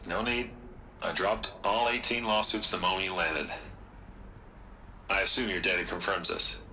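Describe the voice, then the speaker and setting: An actor playing an anxious middle-aged corporate boss in a video game, halting, evasive and defensive. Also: male voice, low voice, steady tone, pushed and bright, slight background noise, exaggerated voice, rough recording. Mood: uneasy, placating. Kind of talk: cinematic video game acting.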